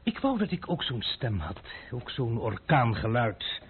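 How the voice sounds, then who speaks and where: An actor playing a stubborn, insolent young gentleman adventurer in a radio play, husky, politely mocking and dryly unimpressed. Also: mid-range voice, big pitch swings, a little slow, a little hiss or hum. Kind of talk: Dutch radio drama acting. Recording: muffled, band-limited old radio recording.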